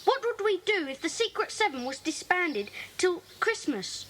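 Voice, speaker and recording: Woman playing earnest child, very high voice, swooping intonation, insistent, children's audio drama, faint tape noise. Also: sing-song, normal speed, fairly bright tone, exaggerated voice. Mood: earnest, eager. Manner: insistent, pleading. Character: earnest, eager child club member. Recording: faintly noisy old cassette.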